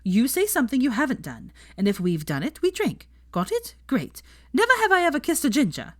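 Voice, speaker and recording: A woman voicing an excitable, sassy and blunt young witch in a story reading. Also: high-pitched, fairly quick, dry recording, clean studio sound, voice pushed up, heavily acted. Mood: bossy.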